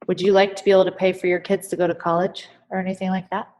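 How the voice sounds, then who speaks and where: Lady podcast host doing live coaching, lowish voice, keeps asking questions, encouraging. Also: little pitch movement, racing, darkish tone, a little hiss or hum. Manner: encouraging, asks probing questions. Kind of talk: coaching conversation.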